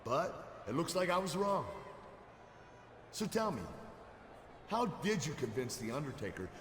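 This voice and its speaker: A sarcastic, suspicious character in a game cutscene, his pitch swinging animatedly. The sound is echoey, with long reverb and faint noise. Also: big pitch swings, unhurried, fairly bright tone. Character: sarcastic, suspicious male character confronting a snoop. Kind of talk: scripted video game cutscene acting.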